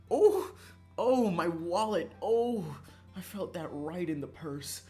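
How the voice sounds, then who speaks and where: A game streamer chatting casually and excitably, swearing freely and swinging between calm asides and mock-angry outbursts. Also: medium pitch, slow pace. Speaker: livestreamer. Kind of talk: casual chat while playing a visual novel. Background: background music.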